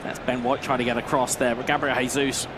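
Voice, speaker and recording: A man's voice: mid-range voice, little pitch movement, a little fast, noisy background. A man who once competed, giving analytical co-commentary on an esports match. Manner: thoughtful, analytical, a few restarts. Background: background music.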